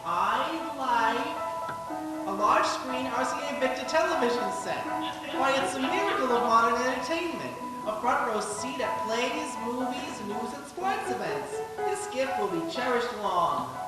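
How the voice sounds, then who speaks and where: Radio ad child: woman as brash wisecracking boy, high-pitched sales patter, off-mic with music behind. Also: sing-song, unhurried. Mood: cheeky. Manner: cheeky, earnest sales patter. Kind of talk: 1940s radio commercial acting. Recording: echoey hall, distant, loud music behind.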